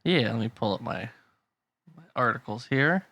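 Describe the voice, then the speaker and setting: A man in easy podcast conversation, his voice bright and forward, recorded close and dry behind a pop filter. He rambles, restarts his sentences and laughs a lot. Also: mid-range voice, expressive, moderate pace, gravelly, husky, no room sound. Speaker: podcast co-host. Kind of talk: casual chat.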